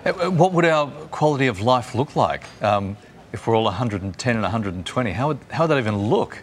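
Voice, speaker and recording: A man's voice: medium pitch, animated, brisk. A man in his late fifties hosting a morning TV interview, putting questions in a chatty way. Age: 50s. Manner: conversational, prompting questions. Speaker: TV show host. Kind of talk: breakfast TV interview. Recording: faint background noise.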